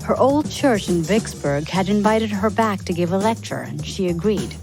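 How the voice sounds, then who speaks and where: A woman's voice: mid-range voice, animated, normal speed, music in the background, rough recording. A woman reading a scripted biographical story as a podcast narrator for young listeners.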